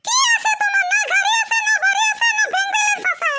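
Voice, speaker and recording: Man doing a shrill, shouting haughty cartoon girl. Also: little pitch movement, quick pace, exaggerated voice. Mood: scornful. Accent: Lower Assam rural. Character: haughty young college girl, principal's scornful daughter. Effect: extremely pitched-up squeaky voice. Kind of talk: comic meme cartoon dialogue. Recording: loud background noise.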